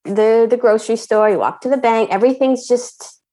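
A woman's voice: fairly high pitch, a little fast, dark tone. A woman from Canada chatting as a podcast guest, laughing often and restarting her phrases as she talks. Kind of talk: casual chat.

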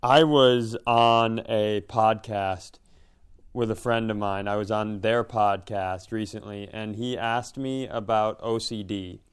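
A man's voice: on the low side, steady tone, slow pace, clean tone. A man telling a casual, comedic personal story in a self-deprecating way, slipping into imagined dialogue. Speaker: podcast host and comedian.

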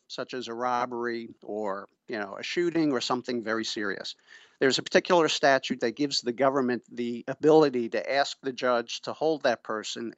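A middle-aged man giving measured, formal and emphatic explanations as an expert guest in an interview. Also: medium pitch, no room sound, lo-fi sound. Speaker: interviewee, pretrial services official and former prosecutor. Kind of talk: explanatory radio interview answers.

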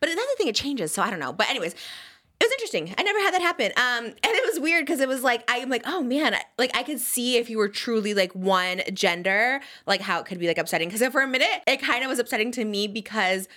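Woman podcast host, high and bright, animated casual chat, quick and giggly.

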